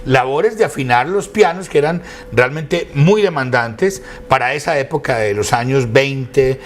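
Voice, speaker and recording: A Colombian man with a medium-pitched voice speaks as an interview guest. He tells his story conversationally yet formally, with eloquent, elaborate phrasing, and some faint ambient noise sits behind him. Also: a little slow. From Quindío, Colombia. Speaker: interview guest, academic and radio station director.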